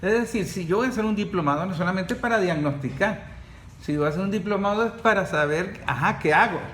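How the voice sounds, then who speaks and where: A man hosting a podcast, jumping in quickly with questions and asides. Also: sits high, fairly bright tone, roomy sound, a little hiss or hum. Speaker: podcast host. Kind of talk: conversational interviewing.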